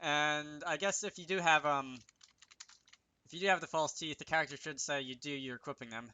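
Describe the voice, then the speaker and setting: Bright-voiced man thinking aloud while coding. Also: fairly high pitch, brisk. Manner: self-talking, wry asides. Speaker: video creator demonstrating game programming.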